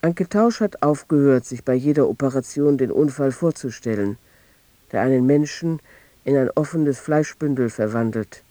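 An actor narrating a literary radio drama as a detached observer, measured and mellow. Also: male voice, medium pitch, dry recording, lightly acted. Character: detached male narrator noting times, places and people.

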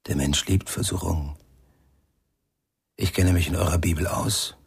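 An actor playing an enigmatic, calm musician in a radio crime drama, speaking in terse, measured, knowing phrases with a husky, gravelly voice. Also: male voice, sits low, no echo, studio quality, full character voice. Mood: calm, probing.

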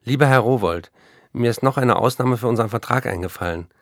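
An actor playing a struggling, effusive writer, reading earnest letters aloud in a radio play. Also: male voice, medium pitch, fast, dry recording, clean studio sound, close to an everyday voice. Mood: playfully modest. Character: struggling male writer, pleading, effusive, self-doubting.

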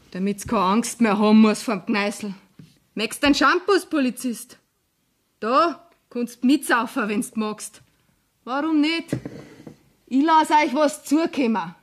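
High-voiced, brash drunken young Bavarian woman. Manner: brash, mocking, coarse-mouthed. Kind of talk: 1970s German radio play acting.

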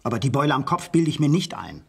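A man's voice: mid-range voice, very animated, fast talker, gravelly, slightly rough, heavily acted. An actor playing a testy, sarcastic husband who insists on his story, in a German radio crime drama. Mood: irritated. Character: irritable, insistent husband shaken by a strange night.

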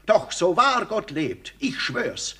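A man's voice: high-pitched, sing-song, slow, sharp tone, slightly rough, exaggerated voice. A man playing an impassioned young lover in a radio play, declaiming verse in a bombastic, rapturous stage manner. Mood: desperate, ardent. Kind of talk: 1960s German radio play acting.

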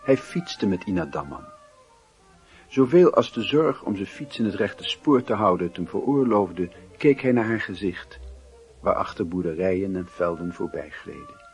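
A man narrating a wistful literary radio drama as a reflective, measured storyteller with a husky, raspy voice. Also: deep voice, expressive, soft background music.

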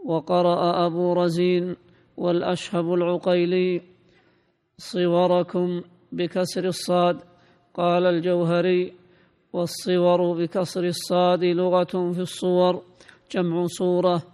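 A man reading a classical religious text aloud as a student, in a formal monotone recitation, recorded in a reverberant hall. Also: sits high, normal speed, smooth. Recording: reverberant hall with long echo.

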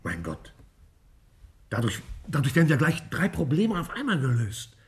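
A man acting an excitable, sceptical scientist in a 1950s German radio play, with a wry, theatrical manner. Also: fairly high pitch, normal speed. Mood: amazed. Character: sceptical but excitable male scientist leading a debate.

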